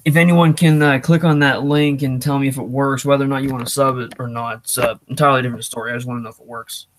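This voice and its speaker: A man's voice: mid-range voice, steady tone, quick pace, a little hiss or hum. A man hosting a casual livestream, talking off the cuff and thinking aloud as he goes. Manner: off-the-cuff, thinking aloud while reading chat. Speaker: livestream host.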